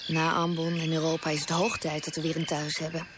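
Actress as practical wife amid loud noise. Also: female voice, low voice, monotone, brisk, natural voice. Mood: delighted. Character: practical, affectionate wife and mother, gently cautioning. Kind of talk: radio play acting. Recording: very noisy outdoor scene with birds and animals.

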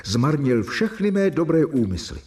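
A man's voice: fairly high pitch, big pitch swings, darkish tone. An actor playing an earnest, exasperated young doctor who narrates his own diary in a radio play. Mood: reflective. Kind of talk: radio drama with first-person narration.

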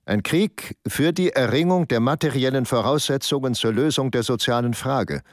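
A man's voice: mid-range voice, moderate pace, no echo, studio quality, natural voice. A man voicing a cold Nazi official in a radio drama, declaiming war aims in an oratorical manner. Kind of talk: documentary radio drama reading.

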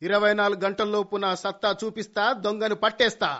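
A man playing a cocky young household detective in a radio comedy. His voice sits high, and he declaims grandly like a lawyer in court, dropping in English phrases. The recording is dull and lo-fi. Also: little pitch movement, fairly quick, natural voice. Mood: dramatic, revealing. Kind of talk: Telugu radio comedy play acting.

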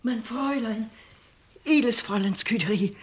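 Urgent, very husky maidservant. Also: female voice, a little high, moderate pace. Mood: agitated, urgent. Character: anxious, bold, outspoken loyal maidservant of a lady. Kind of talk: 1950s German radio drama acting. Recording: 1950s radio studio recording.

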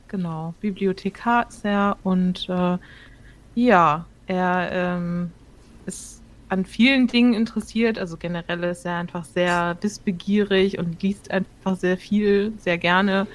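A woman's voice: mid-range voice, measured pace. A woman taking part in a tabletop game recording, talking casually about the character she plays, with many fillers. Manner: relaxed, chatty, many fillers. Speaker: player introducing her character. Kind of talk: casual chat.

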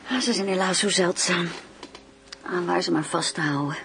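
Coaxing lover with creaky voice. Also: female voice, on the low side, normal speed, fairly bright tone. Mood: languid, tender. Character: coaxing, inquisitive woman, flirtatious lover. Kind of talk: intimate radio play dialogue. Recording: faint background noise.

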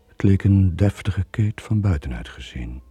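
Casting: middle-aged seaman, husky and dark, bitter, terse, radio play. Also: low voice, animated, moderate pace, soft background music, heavily acted. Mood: bitter, brooding. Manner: brooding, terse, confessional. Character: bitter, lonely long-serving merchant sailor Joon. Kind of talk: 1980 radio drama acting.

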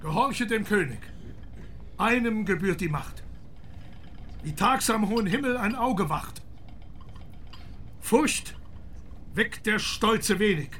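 Pompous high-pitched Kaiser. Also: male voice, expressive, slow, long pauses, a bit bright, a little hiss or hum, heavily acted. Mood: rapturous, declaiming. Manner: declamatory, pompous. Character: pompous, enthusiastic German Kaiser declaiming verse and opinions. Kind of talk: theatrical radio drama declamation.

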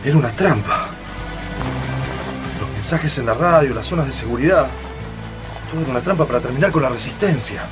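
An actor playing a hot-headed Argentine resistance fighter in a radio drama, with a Rioplatense accent and urgent, emphatic delivery. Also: male voice, medium pitch, lively intonation, brisk, long pauses, music in the background, close to an everyday voice. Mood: grim, brooding.

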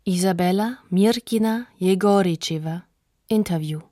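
A woman's voice: mid-range voice, moderate pace, warm tone, no room sound, studio quality. A woman announcing sources in a factual, formal scripted narration for a radio documentary.

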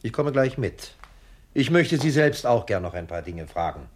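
An actor playing a formal, shrewd police sergeant in a German radio crime comedy, speaking in a measured, polite official manner. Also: male voice, mid-range voice, sing-song, natural voice. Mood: formal, reserved. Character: fake police sergeant, composed, formal, shrewd conman accomplice.

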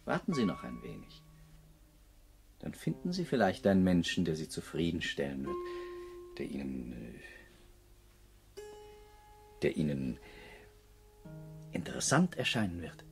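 A man's voice: low-pitched, huge pitch swings, a little slow, pauses often, full character voice. An actor playing a brooding, lovesick and awkward man in a radio play, hesitant and poetic. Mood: tender, hesitant. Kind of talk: German radio play acting. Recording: guitar music behind the voice.

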